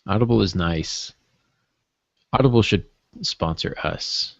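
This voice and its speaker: A man's voice: low voice, slow, gravelly, no room sound. A man chatting casually as a podcast co-host, with a wry, joking manner. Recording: dull, muffled lo-fi audio.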